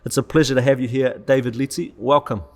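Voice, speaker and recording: A man's voice: mid-range voice, fairly even intonation, fast talker. A man hosting a casual podcast interview, asking questions in an upbeat, encouraging way. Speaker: podcast host.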